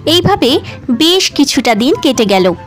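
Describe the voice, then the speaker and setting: A woman's voice: high voice, very animated, unhurried, soft background music. A woman narrating a scripted fairy tale for children in storybook style.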